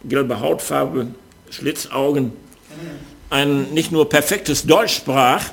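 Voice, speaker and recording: An older man speaks in a medium-pitched voice with plenty of fry and gravel. He tells anecdotes as in a talk, phrasing deliberately and repeating words for emphasis. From Wuppertal area, Germany. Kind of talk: anecdotal storytelling to an audience.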